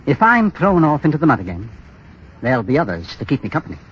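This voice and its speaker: Desperate blackmailer in old 30s radio play, pointed and insistent, swingy husky voice, muffled sound. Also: male voice, mid-range voice, wildly swooping pitch, fast. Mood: threatening. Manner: insistent, pointed, coldly insinuating. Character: desperate, menacing bank clerk and blackmailing moneylender. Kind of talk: 1930s radio drama acting. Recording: muffled, scratchy 1930s radio broadcast.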